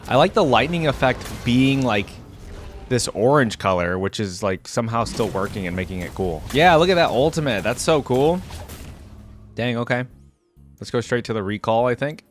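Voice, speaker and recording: A man's voice: mid-range voice, lively intonation, normal speed, faint music behind. A man hosting a reaction video, talking informally and thinking aloud in unscripted commentary. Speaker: YouTube reaction host.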